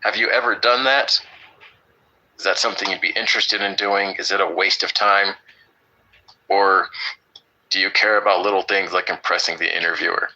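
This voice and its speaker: A man with a deep voice teaching an online English class, upbeat and teasing with his students. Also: fairly even intonation, normal speed, pauses often, pushed and bright, muffled recording.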